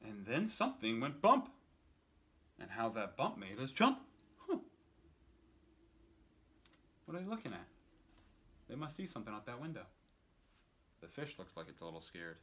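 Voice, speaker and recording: A man's voice: medium pitch, wildly swooping pitch, normal speed, long pauses, a bit bright, slight background noise. A man in his thirties reading a picture book aloud expressively for children, with casual asides. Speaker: story time reader. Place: indoors on a couch.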